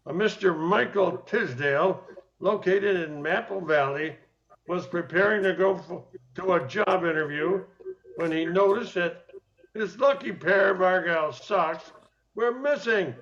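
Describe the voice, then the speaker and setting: Radio-play captain man acting: older, gruff, demanding, short on patience. High-pitched, sharp-edged delivery. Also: heavily acted. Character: rough, exacting, impatient older male police captain. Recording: dull, lo-fi sound.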